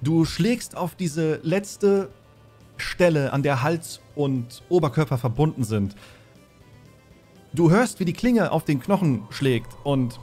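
A man's voice: a little high, lively intonation, takes it slowly, darkish tone. A man narrating and refereeing a tabletop game as game master, explaining things as he goes. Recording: faint music behind the voice. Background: faint music.

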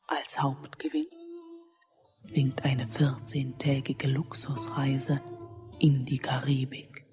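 A woman's voice: extremely low pitch, little pitch movement, slow. A woman playing an announcer, delivering a promotional announcement in a radio drama. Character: promotional announcer for a prize raffle. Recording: dull distant announcement over music.

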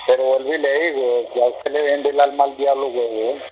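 A man's voice: mid-range voice, little pitch movement, brisk. A Colombian man with a paisa accent, talking insistently in casual slang on a phone call. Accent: Colombian, Medellín paisa. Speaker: prank call target. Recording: noisy phone call with ambience.

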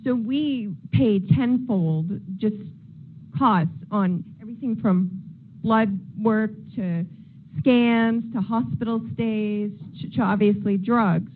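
A woman's voice: medium pitch, takes it slowly. Audience woman, earnest question, phone-thin with faint hum. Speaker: audience member. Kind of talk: question from the floor. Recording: tinny phone-like sound with faint room noise.

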